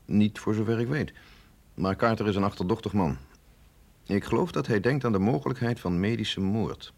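Gezaghebbende dokter met krakende stem. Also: male voice, fairly low pitch, vocal fry, a little mellow. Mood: grave, matter-of-fact. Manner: measured, explanatory, authoritative. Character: authoritative male doctor at a secret research institute, patient and grave. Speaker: doctor. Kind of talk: radio drama acting.

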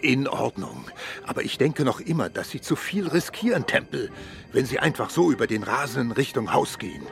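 Cautious police chief with a gravelly creak. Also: male voice, medium pitch, big pitch swings, moderate pace, fairly bright tone, faint music behind. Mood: wary. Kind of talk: German radio drama acting. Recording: studio radio play with clear background noise.

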